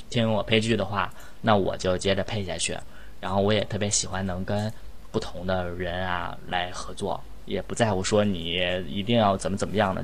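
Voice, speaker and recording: A man hosting a casual online group chat, talking in a rambling, self-effacing way. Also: lowish voice. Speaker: host and amateur voice actor.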